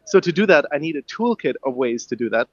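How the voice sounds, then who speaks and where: A man's voice: on the high side, big pitch swings, quick pace, no room sound. Eager young man on a dull line. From Ireland. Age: young adult. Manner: eager, friendly, laughs easily. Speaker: guest inventor. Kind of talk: radio interview.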